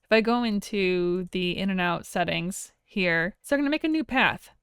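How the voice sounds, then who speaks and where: A woman narrating an informal software tutorial in a plain-spoken, explanatory way. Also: fairly low pitch, normal speed, clean tone, dry recording, clean studio sound. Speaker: audio software instructor.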